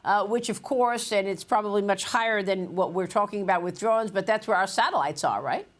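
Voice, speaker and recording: A woman's voice: mid-range voice, a little fast. A woman anchoring a TV business news show, reading a polished, upbeat intro and asking questions in a studio. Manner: polished, upbeat broadcast delivery.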